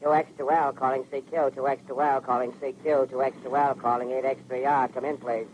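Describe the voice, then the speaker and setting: An actor playing a lone amateur radio operator repeating call signs flatly, in 1930s radio drama style. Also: male voice, medium pitch, a little fast, close to an everyday voice. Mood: forlorn, flat. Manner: flat, repetitive call signs. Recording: muffled, hissy 1930s radio recording.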